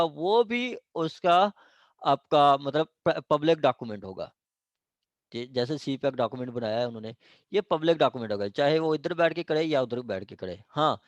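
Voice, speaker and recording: A man's voice: on the high side, dry recording, dull audio. A man with a Pakistani accent lecturing informally, explaining point by point with frequent check-in questions and repeated phrases. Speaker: law lecturer. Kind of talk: informal word-by-word lecture, mixing in English terms.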